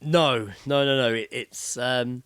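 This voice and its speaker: A man's voice: mid-range voice, takes it slowly, a bit bright, clean tone, dry recording, studio quality. A British man co-hosting a podcast, bantering casually and informally with some swearing. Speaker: podcast co-host.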